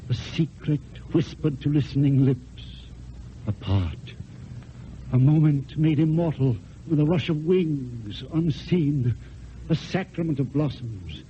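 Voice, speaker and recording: An actor playing a passionate, eloquent poet wooing in secret, in lyrical theatrical declamation with dramatic pauses. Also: male voice, on the high side, slight background noise. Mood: seductive, poetic. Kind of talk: mid-century theatrical classical stage acting. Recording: dull old radio recording with music.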